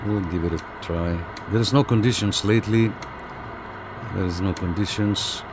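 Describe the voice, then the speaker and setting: A man's voice: sits low, fairly even intonation, measured pace. A man giving a casual hands-on review as a hobbyist, thinking aloud as he works, with hesitations and repeated phrases. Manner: hesitant, repetitive, thinking aloud while working. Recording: clear background noise.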